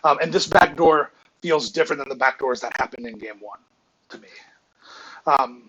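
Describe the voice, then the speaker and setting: A man's voice: mid-range voice, moderate pace, a bit bright, slightly rough, lo-fi sound. Rambling man breaking down game film. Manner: rambling, many restarts and fillers, analytical. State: losing his voice. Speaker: streamer reviewing team game film. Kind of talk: casual live-stream film breakdown.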